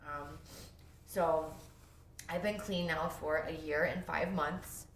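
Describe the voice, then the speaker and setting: A woman's voice: low-pitched, steady tone, slow. A young woman with a sniffly cold, telling her own story in a rambling casual way at home, full of fillers and self-interruptions. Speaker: vlogger sharing her recovery story. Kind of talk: casual first-person storytelling. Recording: echoey room with faint noise.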